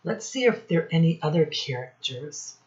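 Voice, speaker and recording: A woman teacher reading a storybook aloud to young children in a deliberate, instructive way, pausing to ask them questions. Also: low voice, very animated, dull audio.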